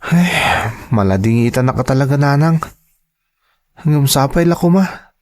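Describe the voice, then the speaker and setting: Guy doing a self-doubting young man in an audio drama, plaintive, earnest, mid pitch. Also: expressive, normal speed, dark tone, natural voice. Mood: downhearted. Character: insecure, downhearted young male graduate and worker. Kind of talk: dramatized family radio-style drama.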